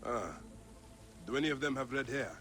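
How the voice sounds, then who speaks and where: An actor playing a steady, curious Egyptian warrior in a video game cutscene. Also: male voice, mid-range voice, moderate pace, close to an everyday voice. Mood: measured, probing. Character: steady, curious Egyptian warrior, a Medjay seeking entry to the arena. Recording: loud background music.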